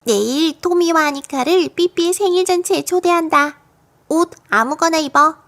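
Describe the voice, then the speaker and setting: A woman's voice: extremely high pitch, normal speed, fairly bright tone, voice pushed up. A woman voicing a bold, cheerful, mischievous little girl in a children's storybook, playful and confident as she exclaims. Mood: breezy, confident.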